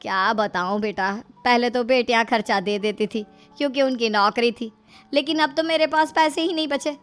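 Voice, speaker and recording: A woman's voice: fairly high pitch, normal speed, fairly bright tone, clear, resonant tone, faint music behind, close to an everyday voice. A woman voicing a scheming, fretful middle-aged mother-in-law with pleading, emphatic delivery in a comic story. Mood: mock worried.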